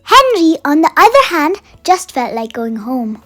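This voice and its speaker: A storyteller reading a children's bedtime picture book aloud in an expressive way, made for young listeners. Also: sits high, measured pace. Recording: music under the voice. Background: music.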